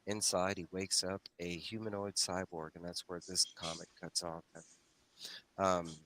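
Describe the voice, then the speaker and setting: A man with a deep, forceful voice hosts a casual livestream discussion. His manner is folksy and informal, addressing the group as he goes. Faint noise sits behind him on a home mic. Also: little pitch movement, unhurried. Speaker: host of a comics read-along livestream. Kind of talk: casual discussion and plot recap.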